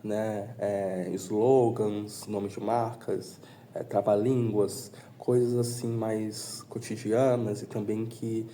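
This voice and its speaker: A man with a low, very dark and mellow voice talks slowly about his teaching work, filling pauses often. Faint noise sits behind him. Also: little pitch movement. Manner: slow, filler-heavy. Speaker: student teaching assistant. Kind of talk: testimonial.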